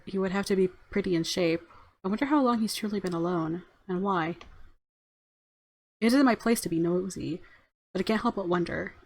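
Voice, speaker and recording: A woman's voice: fairly low pitch, poor audio. A woman game streamer reading a visual novel aloud and reacting casually. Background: faint game music.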